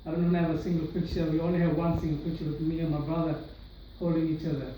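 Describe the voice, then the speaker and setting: A man's voice: a little high, flat delivery, fast talker, warm tone, slight background noise. A young man from Sri Lanka giving an earnest personal testimony to an audience in a large room. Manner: earnest, many restarts and fillers. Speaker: speaker sharing his testimony. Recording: echoey hall, voice from far off.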